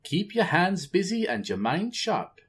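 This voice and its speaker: A wise troll father, as a man puts him on, offering advice in a voice lifted above his usual tone. The delivery is instructive and steady. A storybook voice. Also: sits high, measured pace, breathy edge, pitched above the performer's own voice, heavily acted. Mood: advising.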